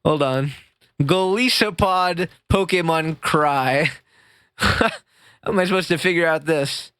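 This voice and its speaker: A man streaming live with a squeaky voice, chatting with his chat in a rambling, jokey way that jumps up high when he gets excited. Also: slow pace, clean tone, dry recording. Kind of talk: casual livestream chat reading chat. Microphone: streaming mic.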